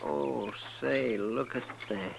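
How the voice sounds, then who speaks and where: An American actor playing a folksy, eager frontier deputy sidekick with a drawling delivery in a 1950s radio western. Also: male voice, mid-range voice, slow, vocal fry. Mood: eager, curious. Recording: dull, noisy vintage radio recording.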